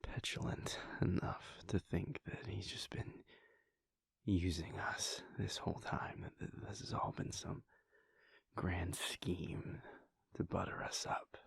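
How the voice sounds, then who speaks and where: Brooding vampire prince, played by a man: husky hushed whisper, dry close sound. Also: sits low, little pitch movement, slow pace, no echo, full character voice. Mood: wistful. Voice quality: gravelly, breathy. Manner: soft whispering, hesitant restarts. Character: brooding male vampire prince, conflicted and introspective. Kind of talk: audio roleplay monologue.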